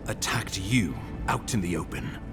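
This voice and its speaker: Low-voiced, commanding imperial official. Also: male voice, vocal fry, music in the background. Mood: grave, resolute. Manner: formal, deliberate, authoritative. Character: grave, commanding imperial official briefing an envoy. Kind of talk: video game dialogue, formal and measured.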